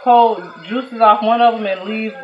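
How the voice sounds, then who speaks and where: A woman's voice: a little high, steady tone, a little slow, highs cut off, faint music behind. A woman in her thirties chatting casually to viewers while she cooks in her home kitchen, recorded by a camera on the counter. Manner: chatty, talks to viewers as "y'all", scolds kids. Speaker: vlogger cooking at home. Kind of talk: casual cooking vlog chat. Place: small home kitchen at night. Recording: echoey kitchen with faint noise.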